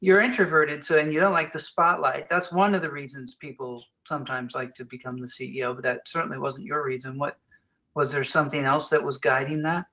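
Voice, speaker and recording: A man's voice: a little high, flat delivery, a little fast, sharp tone, poor audio. A man moderating a conference call, who hands the floor to guests and puts questions to the speaker, agreeing often with short replies. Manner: encouraging, with frequent short affirmations.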